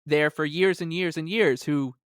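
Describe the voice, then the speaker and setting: A man's voice: fairly high pitch, moderate pace, a bit bright, no echo, clean studio sound. A man co-hosting a sports podcast, talking casually and reading aloud with wry, sarcastic emphasis. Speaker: podcast co-host.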